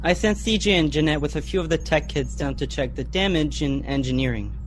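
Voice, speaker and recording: A man's voice: fairly high pitch, brisk, a little hiss or hum, natural voice. A young male performer playing a teenage boy, a reassuring big brother who takes charge, in a sci-fi radio play. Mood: businesslike.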